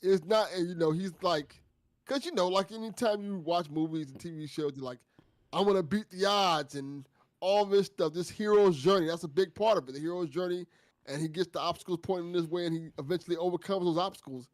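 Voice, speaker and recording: A man's voice: sits high, a little fast, no echo. A man on a discussion panel chatting casually about a story in an informal, slangy, emphatic way. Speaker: panelist on a fiction after-show.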